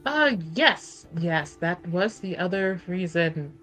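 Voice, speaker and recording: A woman playing a tabletop game, improvising in-character conversation with hesitant, gentle suggestions. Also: lowish voice, lively intonation, slow pace. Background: soft background music.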